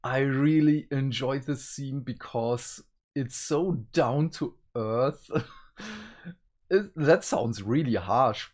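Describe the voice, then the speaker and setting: Unhurried guy reviewer, average pitch, chatty and giggly, into what he watched. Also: a little mellow. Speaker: reviewer, co-host. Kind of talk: casual review chat.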